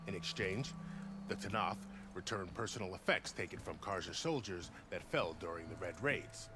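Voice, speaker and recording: A man playing a courteous, dutiful military officer with a wry, measured manner in a video game. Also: deep voice, background noise, natural voice. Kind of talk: video game dialogue acting. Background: game music.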